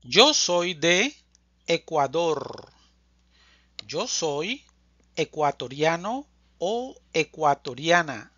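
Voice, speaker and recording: A language teacher reading a scripted drill slowly, enunciating deliberately phrase by phrase, as a close voice-over. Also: mid-range voice, big pitch swings, pushed and bright, no echo, highs cut off. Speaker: Spanish teacher.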